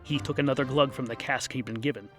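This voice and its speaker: A man's voice: mid-range voice, steady tone, fast, soft background music. A man giving a scripted comic fantasy narration as a storyteller, with a dry, wry theatrical delivery.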